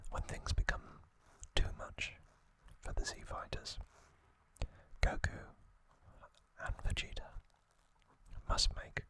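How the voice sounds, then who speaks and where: Male ASMR host, breathy whisper, very bright and sharp, slow deliberate phrasing, trivia narration.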